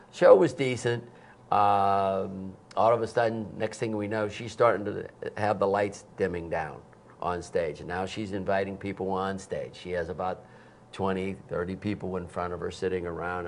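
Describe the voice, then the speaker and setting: A man's voice: on the low side, vocal fry. A man in his sixties, a concert promoter, telling stories in a TV studio interview and acting out the quotes. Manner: animated, acts out quotes with sudden loud bursts. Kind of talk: anecdotal storytelling. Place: TV studio set. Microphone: lapel mic.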